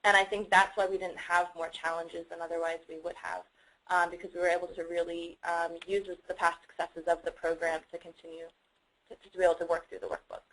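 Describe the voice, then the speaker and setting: A woman's voice: lowish voice, flat delivery, fairly quick, fairly bright tone, low-quality recording. A woman speaking as a webinar panelist, giving conversational explanations with frequent hesitant ums. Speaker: panelist, climate workshop facilitator.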